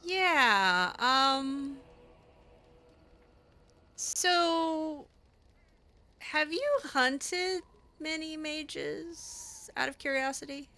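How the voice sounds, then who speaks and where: A woman with a bright, forward voice roleplays through a game at an unhurried pace. She is chatty and a little hesitant, with a wry streak, talking as if to the characters in front of her. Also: sits high, lively intonation. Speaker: gaming channel host roleplaying a mage character. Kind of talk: improvised in-character roleplay commentary, conversational.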